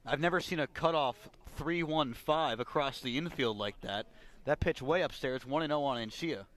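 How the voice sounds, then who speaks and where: A man's voice: medium pitch, moderate pace, a bit bright. A man giving live radio play-by-play commentary as a baseball announcer.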